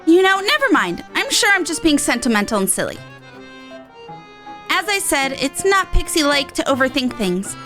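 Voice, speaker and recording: A high, animated pixie voice plays a video game character. The pixie is eccentric, sentimental and playful, musing in a chatty way over background music. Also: female voice, normal speed, heavily acted. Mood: breezy, restless. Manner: whimsical, chatty, musing aloud. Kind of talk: acted reading of video game dialogue.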